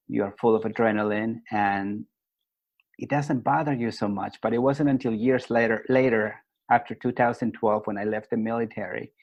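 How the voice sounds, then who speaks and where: A man in his forties from Peru, a guest telling his own story slowly and reflectively, with many pauses and fillers. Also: fairly low pitch. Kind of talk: personal storytelling in an interview.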